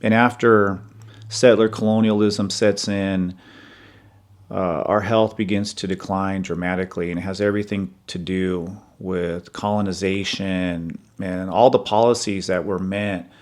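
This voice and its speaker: A man in his fifties hosting a podcast, talking earnestly and in a measured, conversational way about his own life. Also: deep voice, steady tone. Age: 50s. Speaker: podcast host. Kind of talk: personal reflective monologue.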